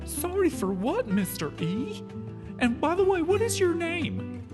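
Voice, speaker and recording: Whiny schoolboy played by a man, very high and squealing, indignant, for a comic story reading. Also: wildly swooping pitch, measured pace, in a large hall, music in the background, full character voice. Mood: whiny protest. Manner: squealing, protesting. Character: Ryan, whiny indignant schoolboy plotting revenge.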